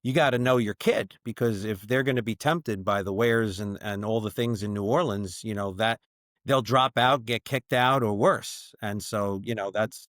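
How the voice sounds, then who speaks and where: A middle-aged man talking casually as a podcast guest, telling stories with lots of "you know" fillers and lively quoted voices. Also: lowish voice, normal speed, no echo, clean studio sound. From born in Chile, raised in the US. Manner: chatty storyteller, many "you know" fillers, animated when quoting. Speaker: brewery founder, podcast guest. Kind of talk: casual conversational interview.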